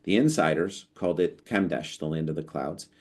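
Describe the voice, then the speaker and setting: American guy with a real low voice, chatty podcast co-host, keeps restarting mid-story. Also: normal speed. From United States. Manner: animated, storytelling, lots of restarts. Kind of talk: casual conversation.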